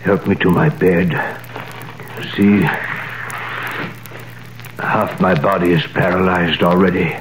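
An actor playing an old imprisoned priest, weary and dying, in 1930s radio drama style. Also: male voice, low-pitched, fairly even intonation, takes it slowly, pauses often, noisy background. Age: older. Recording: roomy 1930s radio recording.

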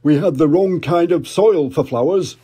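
A man's voice: on the high side, normal speed, warm tone, husky, voice pushed up. An older man voicing a jolly, bumbling father pig in a read-aloud storybook, hearty and a little sheepish.

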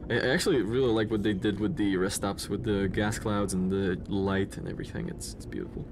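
A man's voice: lowish voice, steady tone, a little fast, background noise. A young man with a Slavic accent, chatting casually while streaming a game, rambling with lots of fillers and restarts. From Czech Republic or Slovakia. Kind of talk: casual gameplay commentary. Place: home room in front of a gaming chair. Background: faint game music.

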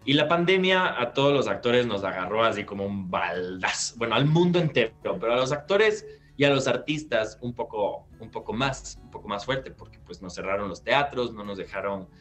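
A man, an actor recounting his career in an interview over a video call from a bright living room. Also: fairly low pitch, normal speed, faint music behind. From Peru. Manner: animated, gestures while recounting, restarts phrases. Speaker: guest actor. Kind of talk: storytelling interview answer. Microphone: laptop webcam on video call.

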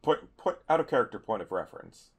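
A man's voice: medium pitch, sing-song, fast talker, husky. Dry, wordy man at a gaming table. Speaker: tabletop role-playing player. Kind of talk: casual game chat and improvised in-character dialogue.

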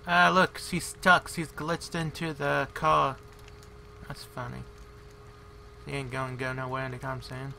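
A man's voice: medium pitch, little pitch movement, unhurried, vocal fry, sharp tone, slight background noise. A man giving casual, off-the-cuff video game commentary, muttering to himself in short fragments. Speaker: gamer, let's player.

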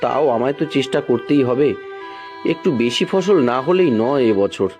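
A man's voice: medium pitch, big pitch swings, normal speed, darkish tone, soft background music, lightly acted. A man playing a poor, anxious, scheming village farmer in a cartoon, with a theatrical, coaxing way of talking. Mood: fretting. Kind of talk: cartoon voice acting.

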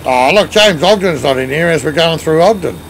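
A man's voice: on the high side, expressive, fairly quick, creaky, slight background noise, poor audio. A middle-aged Australian man with an Australian accent, streaming a truck game on a headset, bantering and thanking viewers in a casual, swearing way. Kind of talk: casual gaming chat. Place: home gaming room, indoors.

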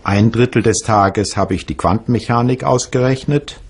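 A man playing a reflective physicist reading his own recollections in a measured way for a documentary. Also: medium pitch, slightly rough. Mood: reminiscing. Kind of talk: dramatized first-person reading in a documentary.

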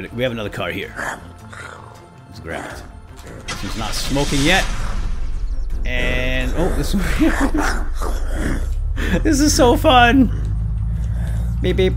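A man hosts a playful let's play in a medium-pitched voice that swings wildly up and down. He chats to himself and his viewers, full of exclamations and silly interjections. Music plays clearly behind him. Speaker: gaming video host.

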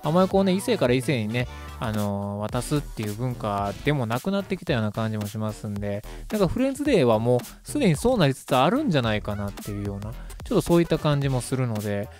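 Quick chatty guy around 30 hosting radio, polite, bit of music behind. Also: mid-range voice, sing-song, a little mellow. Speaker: radio host. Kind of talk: casual radio commentary, summing up. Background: background music.

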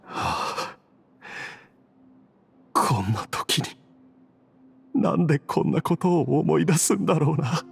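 An actor playing a gentle, dying father in a Japanese video game, speaking in a solemn, wistful, halting way. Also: a little high, lively intonation, vocal fry, heavily acted. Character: dying gentle father, weary, devoted to his family.

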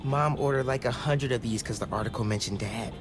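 An earnest teenage boy hero played by a man speaks in natural cinematic game dialogue. He is friendly and youthful, with clear music playing behind him. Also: mid-range voice, fairly quick, natural voice. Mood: casual, warm. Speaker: video game character.

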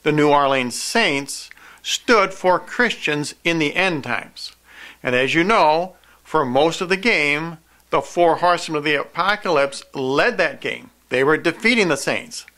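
An older man delivering an earnest religious monologue to camera in a deliberate, emphatic, didactic way. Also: fairly high pitch, lively intonation, pushed and bright. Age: older, 60s-70s. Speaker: video preacher, host of a prophecy program.

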